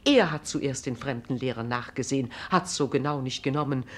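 A woman's voice: extremely low pitch, a little fast. Proud, imperious female regent, standard German, formal and emphatic, classic radio-play delivery. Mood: accusing, resolute. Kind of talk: classic German stage-drama radio acting.

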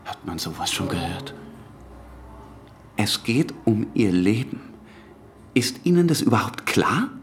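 An actor playing a brusque, officious police official of the Nazi era, curt and interrogating, in a German radio drama. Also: male voice, fairly low pitch, big pitch swings, unhurried, long pauses, a little hiss or hum. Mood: threatening, outraged.

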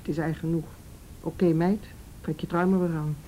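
A woman playing a calm, middle-aged counsellor in a radio drama, patient, direct and matter-of-fact. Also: low-pitched, moderate pace, slight background noise, natural voice, low-quality recording. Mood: patient, firm. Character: calm, firm female counsellor questioning a girl. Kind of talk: naturalistic radio drama acting.

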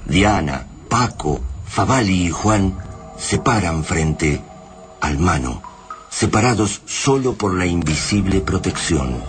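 A man's voice: low-pitched, lively intonation, slow pace, soft background music. A man with an Argentine accent narrating a radio drama in a grave, suspenseful, dramatic manner.

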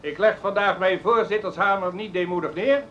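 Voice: mid-range voice, normal speed, close to an everyday voice. Acteur als felle, schelle politicus op ruisende bandopname. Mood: indignant, defiant. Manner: declamatory, forceful. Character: Slager, combative, indignant politician and departing broadcasting chair. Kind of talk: formal public speech in a radio play. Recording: noisy, dull tape recording of speech.

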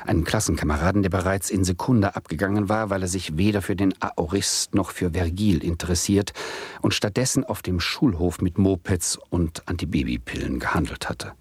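Deep voiced ironic student narrating a radio play, dry and bookish. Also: male voice, fairly quick, husky, no echo. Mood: dry irony. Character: wry, self-justifying male doctoral student narrator.

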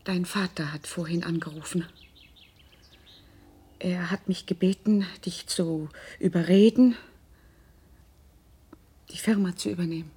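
Mellow, husky middle-aged mother. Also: fairly low pitch, steady tone, a little slow, long pauses. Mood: confiding, hesitant. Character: earnest middle-aged mother confiding in her grown son. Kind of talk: naturalistic German radio drama acting.

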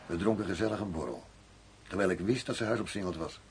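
Actor as deep-voiced, weary undercover police commissioner. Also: male voice, fast talker, natural voice. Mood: weary, reflective. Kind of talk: Dutch radio drama acting. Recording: noisy radio play recording.

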